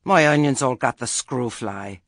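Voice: medium pitch, a little slow, no room sound, clean studio sound, pitched above the performer's own voice, close to an everyday voice. A narrator voicing a stern, imperious old country witch woman in a terse, sardonic, grudging way. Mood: sour.

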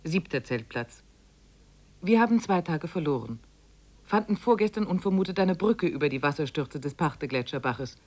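An actress playing a weary trekker who narrates her expedition diary in measured, literary phrases for a 1950s radio play. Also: low voice, expressive, darkish tone. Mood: sober, strained.

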